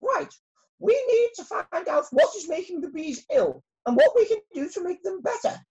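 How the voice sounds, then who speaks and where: A woman voicing a commanding female queen bee in a storybook, speaking in a high, stately, bossy way. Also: big pitch swings, a little slow, highs cut off. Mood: urgent.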